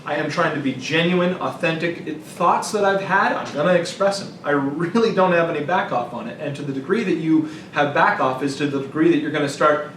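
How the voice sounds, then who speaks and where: Man, slightly high voice, casual sales talk, anecdotal, acts out quotes, roomy distant mic. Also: a little fast, few pauses, a bit bright. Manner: anecdotal, acts out imagined callers and reactions. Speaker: co-host of a business sales show. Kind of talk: casual conversational sales advice. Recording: echoey room, distant mic, faint noise.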